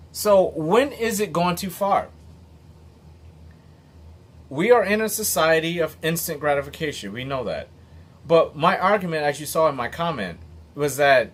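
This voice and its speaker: A man in his late thirties speaks straight to the camera in an unscripted motivational monologue. His voice is a bit husky and sits slightly high for a man. He talks bluntly and emphatically, and a swear word slips out now and then. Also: a bit bright. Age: 30s. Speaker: motivational vlogger.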